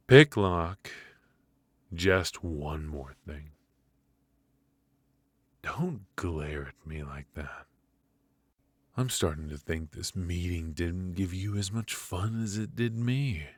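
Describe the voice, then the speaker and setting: A man playing a smug, menacing mafia boss in an ASMR roleplay, courteous but ruthless, smooth-talking and teasing, with deliberate drawn-out pauses. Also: sits low, no echo, heavily acted. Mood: mocking, controlled.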